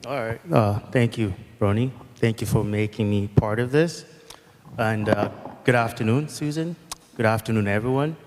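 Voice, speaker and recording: A man's voice: on the low side, normal speed, a little mellow, slight background noise. A man from Uganda, giving a passionate, outspoken, unplanned speech as a guest speaker at an event. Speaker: guest speaker, foundation founder. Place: convention hall. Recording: long hall reverb.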